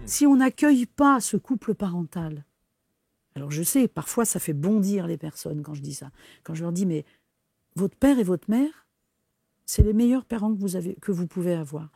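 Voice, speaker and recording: A woman's voice: on the low side, lively intonation, moderate pace, gravelly, warm tone, breathy edge, no room sound. A woman from the Paris region with an accent from France, explaining as an interview guest, searching for her words and repeating phrases. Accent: French from France. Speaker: interview guest, cellular memory practitioner.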